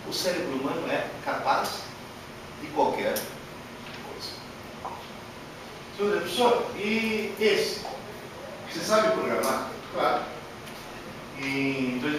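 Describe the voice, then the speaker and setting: A man giving a workshop lecture to an audience, animated and emphatic, with many rhetorical questions, speaking Portuguese as a learned language. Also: medium pitch. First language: not Portuguese. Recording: echoey hall from far off, noisy.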